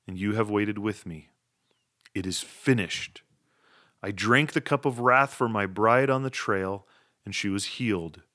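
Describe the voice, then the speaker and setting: Brief: a man playing a regal prince, solemn proclaiming delivery, low voice, dry close sound. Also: fairly bright tone, dry recording. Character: regal prince addressing his wedding feast.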